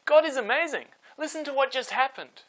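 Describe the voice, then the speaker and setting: A man voicing amazed, excitable shepherds in a children's storybook tale, exclaiming in wonder. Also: extremely high pitch, a little fast, voice pushed up, rough recording. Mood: excited. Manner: exclaiming, wide-eyed.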